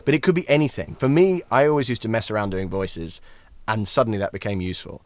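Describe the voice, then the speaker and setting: Low-voiced Englishman chatting in a dry-sounding room. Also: quick pace, dry recording. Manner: rambling, self-interrupting, jokey. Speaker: guest, voice actor and voiceover tutor. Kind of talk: chatty podcast interview, anecdotes and career advice.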